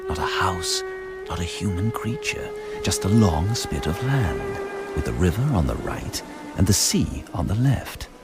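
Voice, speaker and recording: An actor playing a brooding male narrator, giving a measured, atmospheric reading of an old ghost story in a radio drama. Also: on the low side, huge pitch swings, loud music behind the voice, heavily acted.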